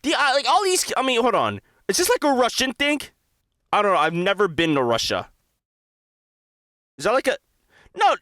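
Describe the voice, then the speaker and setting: A man's voice: extremely high pitch, huge pitch swings, moderate pace, long pauses, sharp tone, no echo, clean studio sound. A young American man giving casual, slangy, profane reaction commentary, swinging from mutters to exclamations. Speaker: reaction video host.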